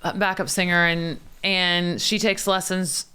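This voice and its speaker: A woman, chatting casually as a podcast host. Her voice is bright and smooth, loud by nature, with a slightly tired edge. She talks freely and jumps in to demonstrate. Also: fairly low pitch, steady tone, unhurried. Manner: chatty, demonstrates vocal warm-ups. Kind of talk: casual chat.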